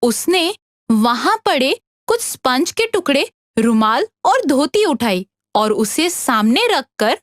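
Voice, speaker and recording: A woman narrating a scripted story for an animated tale. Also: sits high, takes it slowly, no echo, clean studio sound.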